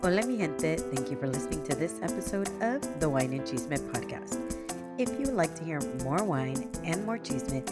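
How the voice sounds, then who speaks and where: Woman host, scripted calm outro, low voice over loud music. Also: moderate pace. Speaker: podcast host.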